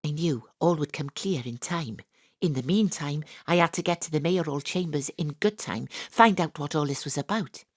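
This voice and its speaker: A woman playing a brisk, tongue-in-cheek private detective with a Welsh accent, acting and narrating in a comic audio drama. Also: low voice, no echo, clean studio sound. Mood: wry narration.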